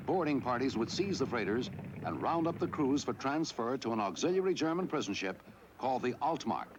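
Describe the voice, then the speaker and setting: Male newsreel narrator with lively intonation. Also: mid-range voice, muffled recording, a little hiss or hum. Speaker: documentary narrator. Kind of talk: scripted historical newsreel narration.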